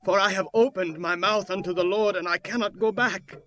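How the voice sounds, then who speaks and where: Guy acting intense bible warrior dad, highish voice, solemn and declamatory, music clearly behind him. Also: steady tone, fairly quick, sharp tone. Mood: anguished. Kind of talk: dramatized scripture acting.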